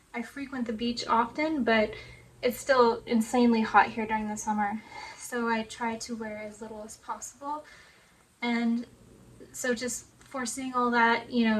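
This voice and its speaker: A woman with a hoarse, recovering voice chats casually about her sewing in a soft, rambling way, full of "like" and "um". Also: on the high side, far from the mic, slight background noise, low-quality recording. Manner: soft-spoken, rambling, many "like" and "um" fillers. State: hoarse, voice still recovering from laryngitis. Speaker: sewing vlogger. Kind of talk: casual chat to camera. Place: home sewing room. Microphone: phone camera.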